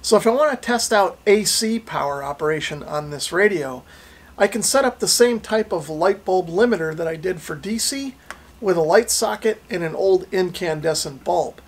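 A man's voice: high voice, lively intonation, moderate pace. An American man in his forties giving an informal technical tutorial in a methodical, explanatory way. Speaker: electronics repair video host. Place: small unfinished basement workshop.

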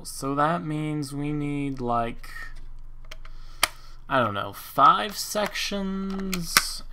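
A man's voice: mid-range voice, background noise. A man casually commenting on his game as he plays, thinking aloud and counting under his breath. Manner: thinks aloud, counts blocks, rambling asides. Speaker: Minecraft let's play host.

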